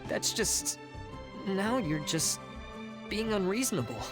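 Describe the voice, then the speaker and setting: A man's voice: sits high, big pitch swings, takes it slowly, full character voice. An actor voicing a meek, hesitant male candidate in a video game who stumbles over his words. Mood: flustered. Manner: hesitant, flustered. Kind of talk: game voice acting. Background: game music.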